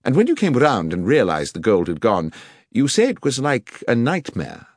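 A man voicing a sharp, commanding middle-aged detective who asks precise questions, in an audiobook reading. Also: medium pitch, huge pitch swings, moderate pace, dry recording, clean studio sound, voice pushed up. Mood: probing. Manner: crisp, precise, probing questions.

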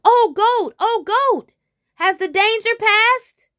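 A hungry, easily rattled storybook tiger, acted out by a woman. He begs and wheedles in a high voice, dragging out his words with great drama. The delivery is slow and the sound close and dry. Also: lively intonation, a little mellow, no echo, exaggerated voice. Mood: desperate calling. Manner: drawn-out, wheedling, overdramatic pleading. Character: hungry, pleading, easily panicked male tiger. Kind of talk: animated storybook character voice.

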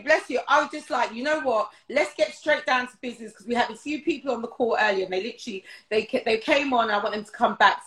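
Woman hosting a video call interview, gushy and repetitive, kinda high voice, roomy echo. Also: a bit bright. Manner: effusive, repeats words, lots of praise. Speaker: interview host. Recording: echoey room.

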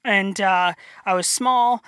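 A young man from North Dakota with a high voice, chatting as an interview guest, rambling and self-deprecating with many restarts. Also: slow pace, bright, forceful tone, smooth, no echo, studio quality. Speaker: illustrator guest. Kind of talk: casual interview chat.

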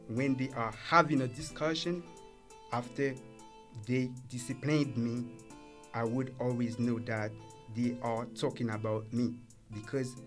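A young man from Haiti with a Haitian accent, a native Creole speaker, reading out his own story as an English learner in a measured, careful way. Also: mid-range voice. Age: young adult. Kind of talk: reading a prepared personal story.

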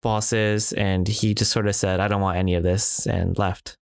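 A man's voice: fairly low pitch, level tone, normal speed, creaky, dry recording, studio quality. A man in casual podcast chat, reflective, with many fillers and restarts. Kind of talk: casual conversation.

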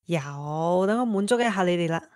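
A woman's voice: fairly low pitch, expressive, moderate pace, darkish tone, dry recording, studio quality, lightly acted. An actress playing a sassy, independent single woman in her thirties in an audio drama, teasing and sarcastic. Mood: sarcastic, carefree. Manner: playful, sarcastic retorts. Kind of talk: Cantonese audio drama acting.

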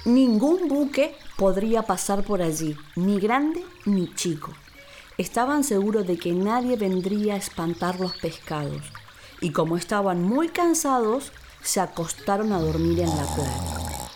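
Slow, animated woman storyteller. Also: medium pitch, faint music behind. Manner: expressive, deliberate, theatrical. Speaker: actress, storyteller. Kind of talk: dramatised narration of a children's story.

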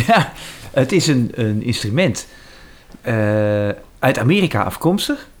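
A man's voice: mid-range voice, very animated, slow. A man with a Netherlands Dutch accent talking casually as an interviewed musician, often repeating and rephrasing. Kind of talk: casual anecdotal interview talk.

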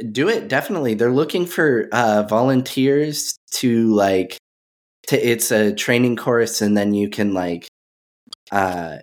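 Low-voiced, hesitant male podcast host. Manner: hesitant, with many uhs, warm laughs. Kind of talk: casual interview.

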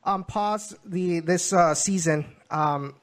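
A man's voice: high voice, takes it slowly. A man hosting a soccer show, interviewing a guest in a conversational, somewhat hesitant and wordy way.

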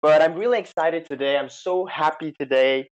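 A man hosting a podcast over a remote call, excited and chatty, with many fillers and restarts. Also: fairly high pitch, normal speed. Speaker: podcast host. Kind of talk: casual chat. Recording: slightly dull lo-fi sound.

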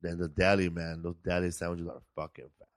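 A man's voice: deep voice, level tone, fairly quick, no echo, studio quality. A man hosting a casual podcast, chatting in a laid-back, slangy, repetitive way. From California. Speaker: podcast host. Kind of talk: casual comedic podcast chat.

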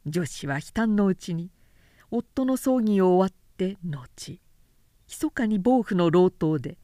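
A woman narrator reading literary fiction from a script in a measured, dramatic storytelling manner. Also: fairly low pitch, animated, dark tone, no room sound, clean studio sound. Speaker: narrator, professional reader.

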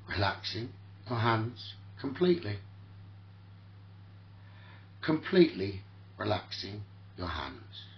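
A man leading a guided sleep meditation as host, speaking soothingly in short, repeated phrases with long pauses, on a muffled lo-fi recording. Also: mid-range voice. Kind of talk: guided sleep meditation, spoken relaxation talkdown.

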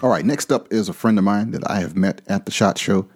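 A man hosting a casual interview show, friendly and quick to jump in with questions. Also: lowish voice, breathy edge, no room sound, studio quality, faint music behind. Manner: friendly, animated, jumps in with questions. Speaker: podcast host.

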